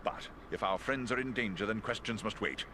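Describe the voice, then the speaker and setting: An actor playing a grave, decisive ally of the heroes in a fantasy video game, speaking formally and with purpose. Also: male voice, lowish voice, a little fast, pushed and bright, slight background noise. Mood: concerned, decisive.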